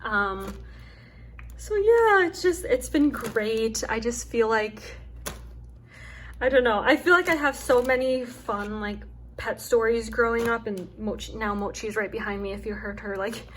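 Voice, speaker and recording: A young woman with a soft, calming voice chatting casually and rambling with lots of fillers as she hosts a craft video at home. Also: a little high, measured pace, slight background noise. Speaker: craft channel host. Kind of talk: casual chat while chopping wax. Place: home with her dog nearby.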